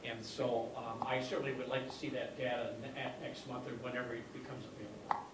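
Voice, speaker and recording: A man, a county supervisor, speaking in a measured, politely insistent way at a committee meeting. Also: mid-range voice, little pitch movement. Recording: distant mic in echoey room with hiss.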